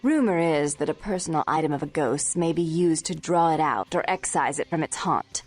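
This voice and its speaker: Low-voiced haughty vampire proprietress. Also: female voice, normal speed, a little mellow. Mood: businesslike, imperious. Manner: prim, condescending, formal diction. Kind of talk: video game voice acting. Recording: music behind.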